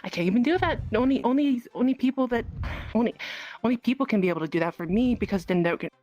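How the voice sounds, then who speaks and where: A woman's voice: fairly high pitch, expressive, fast talker, darkish tone, no echo, muffled recording. A woman playing an earnest, knowledgeable dragon who gives friendly advice in a hesitant, rambling, repetitive way in improvised online roleplay. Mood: earnest, flustered. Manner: hesitant, rambling, repeats phrases. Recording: music behind the voice.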